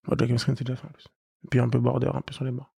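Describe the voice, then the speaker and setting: A young man chatting as a guest, quick and loose, often laughing and trailing off. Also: mid-range voice, completely flat delivery, vocal fry, warm tone, husky, no echo. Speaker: guest, co-founder of a street art venue. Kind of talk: casual chat.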